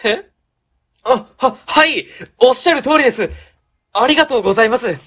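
A woman's voice: on the high side, animated, normal speed, fairly bright tone, slightly rough. A woman playing a timid, deferential junior office worker with hesitant polite speech in a web radio drama. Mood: flustered.

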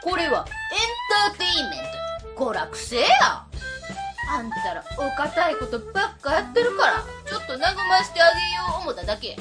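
A woman's voice: very high voice, wildly swooping pitch, moderate pace, loud music behind the voice, full character voice. A woman playing a cheeky middle-aged cleaning lady with a Kansai accent in a comedic radio drama, teasing and bossy.

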